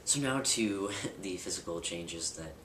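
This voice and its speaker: Young man, deep voice, casual candid vlog, far from the mic, noisy background. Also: lively intonation, measured pace. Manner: candid, rambling with fillers and restarts. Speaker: vlogger. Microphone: camera mic at a distance. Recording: roomy, distant, with loud background noise.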